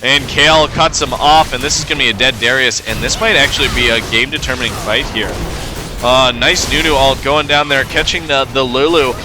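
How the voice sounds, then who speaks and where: A man with a cold, sounding stuffed up, giving relaxed play-by-play commentary over a video game match with frequent fillers. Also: mid-range voice, fairly quick, music in the background. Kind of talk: casual gameplay commentary.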